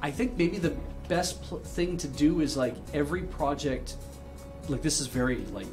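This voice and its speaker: A male livestream host with a somewhat high-pitched voice chats casually on a microphone. He feels his way through sentences, pausing to find words. Music plays clearly in the background. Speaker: charity livestream host reading viewer messages. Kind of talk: casual, unscripted advice chat. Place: indoor livestream studio. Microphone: handheld-style microphone close at desk.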